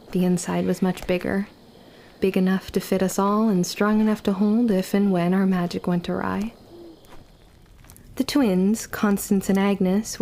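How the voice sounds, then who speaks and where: An actress playing a young orphan witch who speaks in formal, measured, old-fashioned words, wry, as in period audio drama narration. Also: mid-range voice, mellow, a little hiss or hum, natural voice.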